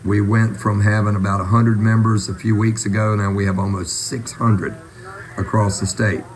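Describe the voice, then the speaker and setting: A man's voice: on the low side, flat delivery, moderate pace. A man casually hosting an amateur radio net as its controller.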